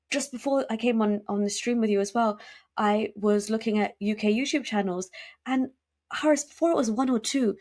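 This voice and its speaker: Woman guest, emphatic and fast, full of fillers and restarts. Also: a little high, darkish tone. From United Kingdom. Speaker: podcast guest. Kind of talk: conversational interview.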